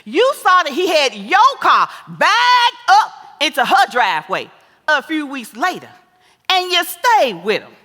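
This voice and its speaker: A woman acting a sassy, scolding, wise older American mother in a stage play, with an animated, folksy, preachy delivery. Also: sits high, a little slow, heavily acted. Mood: scolding, exasperated. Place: live stage in a theater. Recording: echoey theater hall with long reverb.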